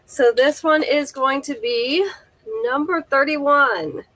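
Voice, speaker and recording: Woman, slightly high voice, stuffy nose, chatty live seller, detailed descriptions, close camera mic. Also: slow pace, fairly bright tone, rough recording. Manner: chatty, careful item-by-item descriptions.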